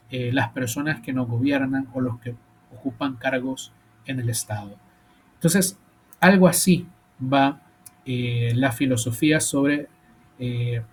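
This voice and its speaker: A man's voice: a little high, husky. A man teaching a philosophy class, thinking aloud with many fillers and rhetorical questions. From El Salvador. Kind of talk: university lecture.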